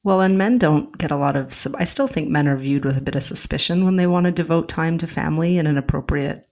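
Woman with a gravelly edge, reflective. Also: low voice, fast, darkish tone. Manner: reflective, asks open questions, uses fillers like "you know". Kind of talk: casual conversation.

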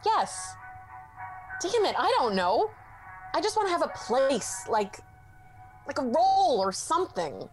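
A sardonic young woman in denial, played by a woman with a Canadian accent in a comedy radio play. She deflects with sarcasm and mutters under her breath. Her voice sits fairly high, with faint music behind. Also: very animated, measured pace, long pauses, rough recording. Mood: frustrated. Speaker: therapy patient. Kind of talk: student radio comedy acting.

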